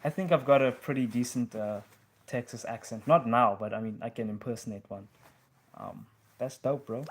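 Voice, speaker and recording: A man's voice: medium pitch, moderate pace, slight background noise. Laid-back young man chatting on a live stream. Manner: laid-back slang, calls listeners bro. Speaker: live-stream host answering viewer questions. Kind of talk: casual live-stream chat.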